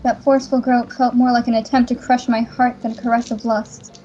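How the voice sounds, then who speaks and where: A woman's voice: fairly high pitch, level tone, brisk, dark tone, muffled recording, close to an everyday voice. A woman voicing a proud, anguished young princess heroine in a game fan dub, earnest and indignant. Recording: music behind.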